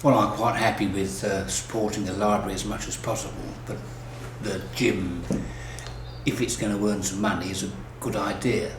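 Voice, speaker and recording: Local man, very deep voice, hesitant, echoey and noisy surroundings. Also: fairly even intonation, moderate pace. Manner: hesitant, mildly sceptical. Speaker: local resident. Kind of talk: vox pop.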